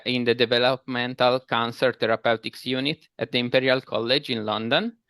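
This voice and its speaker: A man's voice: mid-range voice, a bit bright, clear, resonant tone, no echo. A man hosting a webinar over a video call, formally introducing the speaker with some slips and fillers. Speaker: webinar host.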